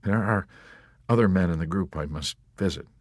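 A man voicing a shy, apologetic military chaplain in a novel, mumbling softly and hesitantly. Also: sits low, normal speed, dry recording, studio quality. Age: 30s.

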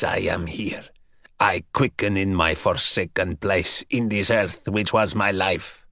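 A man voicing an ancient buried male vampire who speaks in slow, mocking, archaic phrases. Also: lowish voice, a bit bright, dry recording, clean studio sound, pitched below the performer's own voice. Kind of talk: audiobook character voice.

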